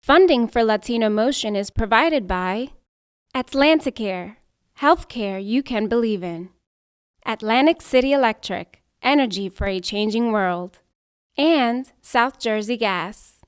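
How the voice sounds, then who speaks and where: A woman's voice: on the high side, expressive, measured pace, clean tone, no room sound. A woman reading a scripted broadcast announcement as a show announcer, on a close studio mic. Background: music.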